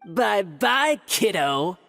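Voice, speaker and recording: A woman's voice: mid-range voice, sing-song, very slow, gravelly, a bit bright, heavily acted. A woman playing a cocky, taunting young male bandit in a video game, mocking and smug. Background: music.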